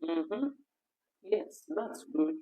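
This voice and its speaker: A woman with a naturally high voice co-hosting a casual live discussion, talkative and quick to finish her partner's sentences. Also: expressive, warm tone, low-quality recording. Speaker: co-host of an online talk program.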